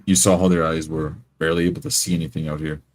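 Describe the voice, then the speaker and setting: Man acting a grave male adventurer, tabletop roleplay, rumbling bass pitch, distrustful, deliberate. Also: level tone. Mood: resolute.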